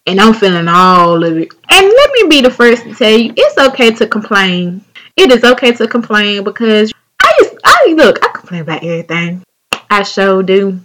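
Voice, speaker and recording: A woman's voice: medium pitch, very animated, normal speed, fairly bright tone, a little hiss or hum, poor audio. A young woman from North Carolina with a Southern accent, hosting a casual solo podcast in a chatty, candid, drawling way. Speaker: podcast host.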